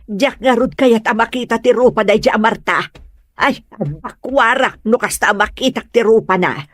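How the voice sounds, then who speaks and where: A woman's voice: fairly high pitch, moderate pace, no echo, lightly acted. A woman with an Ilocano Filipino accent acting a grumpy, easily offended village woman in an audio comedy-drama. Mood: annoyed.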